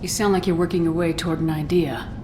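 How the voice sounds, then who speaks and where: Actress as a low-voiced, blunt female commander. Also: steady tone, quick pace, noisy background, lightly acted. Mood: dry. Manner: terse, matter-of-fact. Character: tough, curt female military commander, blunt and dry. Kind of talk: video game voice acting.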